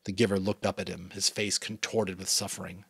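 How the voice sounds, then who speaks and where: A man from New England reading a novel aloud as a father, in a dramatic, expressive narration style. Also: low-pitched, brisk, creaky, breathy edge, no room sound. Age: adult, a father. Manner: expressive, varied delivery. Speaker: audiobook reader.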